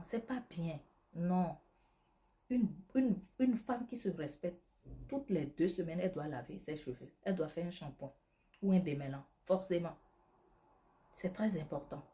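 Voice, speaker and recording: A woman whose first language is not French talks straight to her viewers, preaching self-care in an emphatic, repetitive way. Her voice sits a little low and sounds mellow and dark. She addresses the listener directly and keeps stressing how important each point is. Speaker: beauty advice vlogger selling skincare products. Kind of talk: direct-to-camera advice talk.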